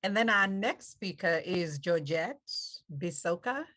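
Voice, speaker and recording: A woman with a Kenyan accent hosting an online panel, speaking in an encouraging, unhurried way with fillers, over a video call. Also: lowish voice, expressive, a bit bright. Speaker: event host. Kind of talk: warm panel moderation.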